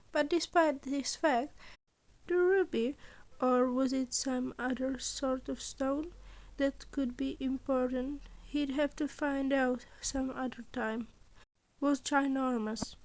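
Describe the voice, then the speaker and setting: A woman reading scripted fiction aloud as a story narrator. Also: sits high. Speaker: podfic narrator.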